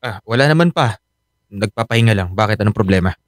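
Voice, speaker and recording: An actor playing a troubled, defensive young Filipino husband and son in a radio drama. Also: male voice, on the low side, expressive, quick pace, no room sound, close to an everyday voice. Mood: casual. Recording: studio drama with clear music bed.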